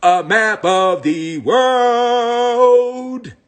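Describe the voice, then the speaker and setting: A man's voice: very high voice, steady tone, extremely slow. Profane, preachy man ranting to camera. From United States. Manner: profane, preachy, emphatic repetition. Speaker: spiritual commentator. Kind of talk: casual rant to camera.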